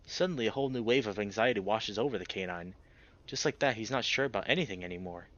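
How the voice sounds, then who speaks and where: A man narrating a visual novel aloud as a let's player, dramatic and expressive, swearing freely in casual reactions. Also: on the low side, fast, dull audio. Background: music.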